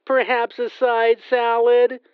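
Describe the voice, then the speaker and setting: A woman's voice: a little high, monotone, slow, clear, resonant tone, no echo, narrow, tinny audio. A woman playing a young, new pizza shop worker who chirpily runs through her upselling script. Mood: chirpy.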